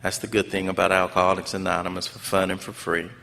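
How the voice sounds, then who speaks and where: A man's voice: low voice, level tone, fast, vocal fry, sharp tone. An American man giving a personal testimony speech at a convention, preacher-like and emphatic, repeating phrases in colloquial English. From Los Angeles, United States. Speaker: speaker at a recovery fellowship convention. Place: large conference hall. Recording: echoey hall PA with background noise.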